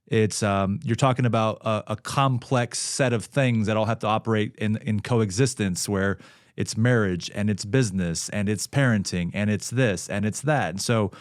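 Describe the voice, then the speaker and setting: A man hosting an interview. He asks long, reflective questions and pauses with fillers. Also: on the low side, no echo, studio quality. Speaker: podcast host. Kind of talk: casual interview.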